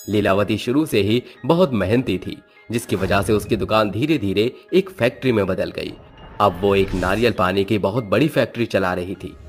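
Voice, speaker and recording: A man narrating a scripted moral story as a storyteller. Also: lowish voice. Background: background music.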